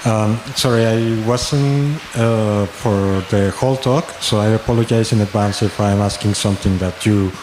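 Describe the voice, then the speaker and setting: A man's voice: lowish voice, normal speed, hardly any pauses. A man in the audience asking long, rambling questions at a talk, with many fillers. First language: not German. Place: conference room. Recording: echoey hall, faint noise.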